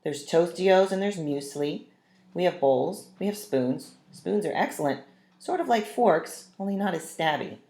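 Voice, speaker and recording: An absent-minded storybook father, played by a woman reading aloud, mild and quirky. He muses offhandedly in a low voice. The room around the voice echoes. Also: animated, a little slow, mellow. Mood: reasonable, musing. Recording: roomy, echoing room sound.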